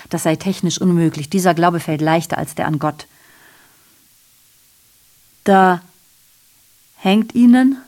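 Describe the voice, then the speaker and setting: A woman's voice: medium pitch, darkish tone, close to an everyday voice. An actress playing a bitterly ironic, evasive woman messenger reporting horrors in a theatrical, sardonic radio drama monologue. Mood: bitter irony, then grim, emphatic.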